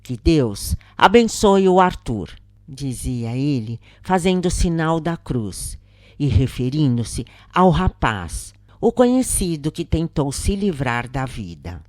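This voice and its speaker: A Brazilian woman reading a scripted first-person account as a narrator, in a solemn, measured and expressive way. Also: sits low, no room sound, clean studio sound.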